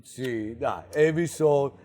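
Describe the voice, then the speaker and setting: A middle-aged man from Italy with an Italian accent, a restaurant co-owner chatting casually and haltingly, with many fillers and a few laughs. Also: mid-range voice, darkish tone. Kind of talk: casual interview chat.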